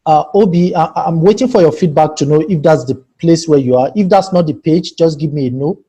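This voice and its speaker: A husky, mellow-toned man runs a live video-call workshop, stepping through a data tool on screen. He talks patiently and keeps checking in with attendees by name. His voice comes through a computer mic on the call. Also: fairly high pitch, fairly quick. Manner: patient, asks participants for feedback by name. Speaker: online workshop trainer. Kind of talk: live screen-share tutorial.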